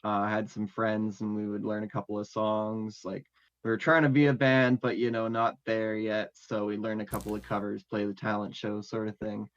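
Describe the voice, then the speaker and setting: A man's voice: lowish voice, completely flat delivery, moderate pace. A man chatting casually as an interview guest about his music, rambling and full of fillers. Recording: dull lo-fi audio.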